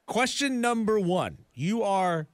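A man's voice: high voice, very animated, slow, no echo. A man co-hosting a sports radio show, chatty and setting up clips in casual banter. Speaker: radio co-host.

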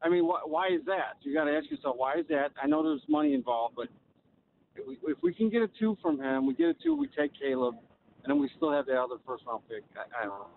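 A man's voice: a little high, sing-song, fast talker, gravelly. A man calling a sports radio show on a dull, slightly noisy phone line, arguing his opinion casually and repeating phrases.

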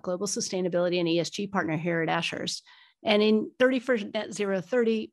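A woman's voice: fairly low pitch, creaky. A woman hosting a professional podcast interview, introducing her guest and asking questions with a friendly manner and some repeated words. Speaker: podcast host, law firm partner.

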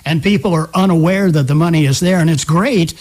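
Higher-voiced male talk radio host. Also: a little fast, a little mellow. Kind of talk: live talk radio chat. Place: radio studio.

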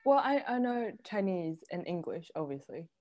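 A woman's voice: fairly low pitch, very animated, moderate pace, a little mellow. A young woman from Taiwan chatting casually on a student podcast, giggly and full of "like". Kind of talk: casual chat.

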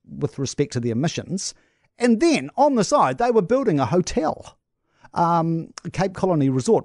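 Animated New man on radio. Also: a little high, no echo, clean studio sound. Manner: animated storytelling, talks up attractions. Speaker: travel correspondent. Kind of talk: enthusiastic radio travel segment and interview.

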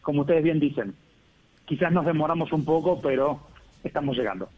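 A man with an Argentine accent, a car company executive speaking as a guest in a radio interview by phone, in measured corporate phrasing with fillers. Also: a little high, breathy edge. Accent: Argentine Spanish. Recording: tinny phone line.

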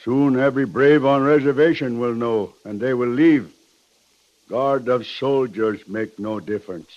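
An actor playing a proud, solemn chief in a 1950s radio western, speaking in terse broken phrases. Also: male voice, mid-range voice, unhurried, darkish tone, natural voice. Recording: dull, band-limited old radio recording.

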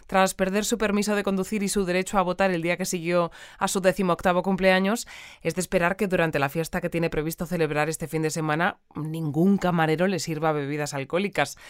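Smooth, deadpan actress as female newsreader. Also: on the low side, dry recording, studio quality, lightly acted. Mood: composed deadpan. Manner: deadpan, measured, polite. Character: composed, deadpan female community radio newsreader. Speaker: news presenter. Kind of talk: scripted radio news narration.

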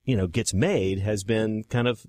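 A man's voice: on the low side, a little slow, darkish tone, dry recording. A middle-aged man interviewing casually as a podcast host, with a joking, teasing manner.

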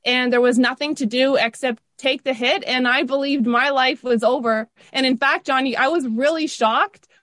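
A woman's voice: sits high, steady tone, moderate pace, a bit bright, no room sound, studio quality. A middle-aged woman with a Canadian accent, telling personal stories as an interview guest. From Toronto area, Canada. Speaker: interview guest, university teacher.

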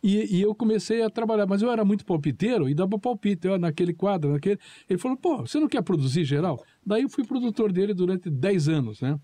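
Older man, higher-pitched mellow storyteller. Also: animated, quick pace, hardly any pauses, dry recording. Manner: storytelling, acts out quoted dialogue. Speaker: guest, former TV show producer. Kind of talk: anecdotal recollection.

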